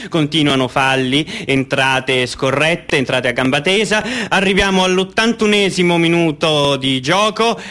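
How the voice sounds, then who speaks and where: Bright, theatrical football commentator. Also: male voice, a little high. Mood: tense, urgent. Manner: dramatic, building to drawn-out excited goal calls. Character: excitable Argentine male football radio commentator, partisan and theatrical. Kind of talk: live football match commentary in radio theatre.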